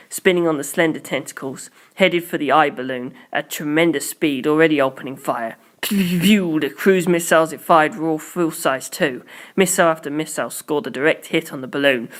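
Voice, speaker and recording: Someone reading a story aloud, amateur audiobook style, mid pitched voice, expressive.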